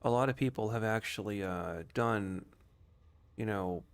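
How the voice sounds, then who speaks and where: A man's voice: fairly low pitch, measured pace. A man streaming a game with casual, rambling, conversational commentary.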